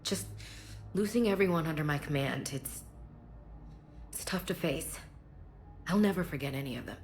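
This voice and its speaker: Low-voiced, candid soldier. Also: female voice, lively intonation, moderate pace, a little mellow, slight background noise. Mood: subdued, confiding. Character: tough, candid female soldier, frank and dryly humorous. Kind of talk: video game voice acting.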